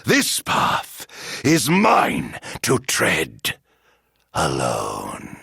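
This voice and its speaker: A man's voice: rumbling low, wildly swooping pitch, drawn-out words, vocal fry, pushed and bright, husky, no room sound, studio quality, full character voice. An actor playing a theatrical, vengeful fallen king turned immortal villain in a video game, with grandiloquent, ornate diction. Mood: vengeful, solemn. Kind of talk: dramatic video game voice acting.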